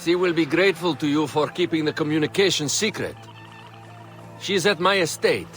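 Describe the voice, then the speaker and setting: A man's voice: a little high, normal speed, a bit bright, faint music behind, close to an everyday voice. An actor playing a refined, articulate advisor to an ancient Egyptian queen in a video game, speaking formally and instructively. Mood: grave, informative. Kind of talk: video game cutscene acting.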